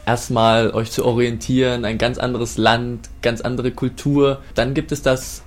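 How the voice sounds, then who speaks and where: A man's voice: medium pitch, level tone, measured pace. A man hosting an interview and asking open, friendly questions. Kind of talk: hosting a conversation.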